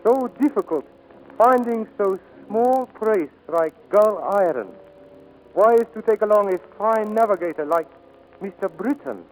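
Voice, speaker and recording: A high-voiced, sly foreign innkeeper. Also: male voice, expressive. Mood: quietly menacing. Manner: measured, courteous, broken English. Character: polite, sly, menacing foreign innkeeper spy. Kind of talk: 1940s radio acting. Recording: noisy old radio recording.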